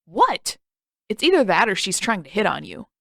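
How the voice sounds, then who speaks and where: A woman's voice: medium pitch, huge pitch swings, normal speed, creaky, a bit bright, dry recording, clean studio sound, full character voice. A woman voicing a teenage boy, a sarcastic and defensive big brother with emphatic delivery.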